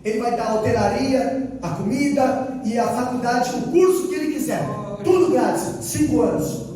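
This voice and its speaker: High-voiced preacher man, echoing hall. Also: normal speed, warm tone. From Brazil. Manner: storytelling, quoting dialogue, repeating phrases for emphasis. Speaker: missionary preacher. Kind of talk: sermon testimony. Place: church hall. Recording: echoey hall from far off, faint ambience.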